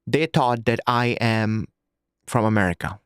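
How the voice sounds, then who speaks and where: Clear young Pakistani-accented man, emphatic. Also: medium pitch, unhurried, no room sound, clean studio sound. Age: 20s. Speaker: podcast guest. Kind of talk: recounting personal story in an interview.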